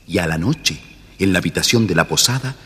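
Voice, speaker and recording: A man's voice: low voice, animated, fairly quick, sharp tone, slightly rough. A man with an Argentine accent narrating a scripted children's story. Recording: long reverb, faint music behind.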